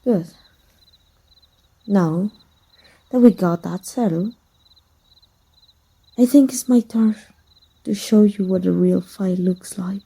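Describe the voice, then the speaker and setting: A woman playing a jealous, possessive female villain in an intimate ASMR roleplay, teasing in a husky, breathy murmur. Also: medium pitch, slow, pauses often, warm tone, full character voice. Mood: seductive, smug. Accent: Mexican.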